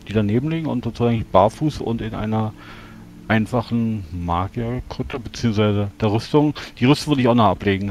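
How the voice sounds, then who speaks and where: Low-voiced, hesitant man at a game. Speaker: tabletop roleplaying player. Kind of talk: describing his character's actions in a game. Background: soft music.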